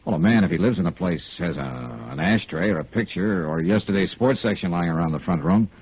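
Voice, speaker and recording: A man's voice: deep voice, lively intonation, brisk, breathy edge, heavily acted. An American actor playing a hard-boiled, persistent insurance investigator in a radio noir drama, clipped and matter-of-fact as he narrates and questions. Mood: probing, insistent. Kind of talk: 1950s radio noir acting. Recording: dull vintage radio recording with music.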